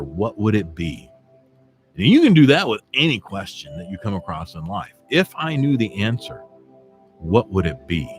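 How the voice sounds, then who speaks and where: A male morning radio host talks in a relaxed, chatty way, his low voice rising and falling a lot. He muses aloud and drops in the odd filler while he speaks. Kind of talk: morning radio talk, reading from a self-help book.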